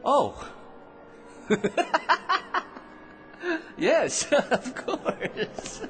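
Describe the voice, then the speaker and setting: Tipsy rambling blowhard, lively swoops. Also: male voice, extremely high pitch, very slow, slight background noise, heavily acted. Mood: flustered, pleading. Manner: rambling, stammering, wheedling, mock-polite. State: drunk. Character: tipsy, rambling, cheeky barroom blowhard man, self-amused schemer. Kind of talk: old-time radio drama acting. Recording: music behind radio drama dialogue.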